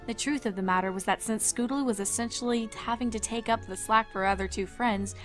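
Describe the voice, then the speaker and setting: A woman's voice: on the high side, quick pace. Woman narrating a story over music. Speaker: fanfic narrator.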